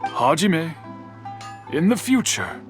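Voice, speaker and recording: An actor playing a stern, dignified old master craftsman in a video game, speaking in a measured, formal, admonishing way. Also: on the high side, huge pitch swings, faint music behind, exaggerated voice. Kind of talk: English video game voice acting.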